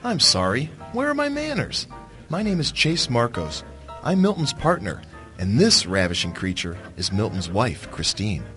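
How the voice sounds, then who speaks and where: A man's voice: medium pitch, wildly swooping pitch, moderate pace, fairly bright tone, soft background music. A man playing a flippant, teasing business partner in a radio drama, speaking in an arch, mocking, flowery manner. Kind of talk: theatrical radio drama acting.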